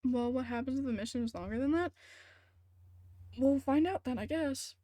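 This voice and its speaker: A woman's voice: high voice, moderate pace, mellow, dry recording. A woman chatting casually on a live game stream, making short, informal, reactive remarks. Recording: music behind the voice.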